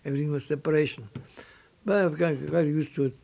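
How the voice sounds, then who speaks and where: An older man from Switzerland with a Swiss German accent, telling his life story in halting English with repeated words and restarts. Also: a little high, fairly even intonation, gravelly, dark tone, muffled recording. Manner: halting, repeats words, non-native phrasing. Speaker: interviewee.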